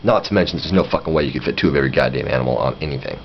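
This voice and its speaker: A man's voice: rumbling low, rapid-fire, gravelly, muffled recording, a little hiss or hum. A man giving a casual, opinionated monologue, blunt and exasperated, swearing and acting out conversations as he goes. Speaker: vlogger.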